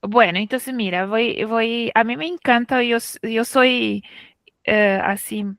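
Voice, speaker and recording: A woman's voice: fairly high pitch, slow, a bit bright, no echo, clean studio sound. A middle-aged woman with a Chilean Spanish accent giving an informal academic talk, conversational and checking in with her listeners. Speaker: guest speaker, literature researcher.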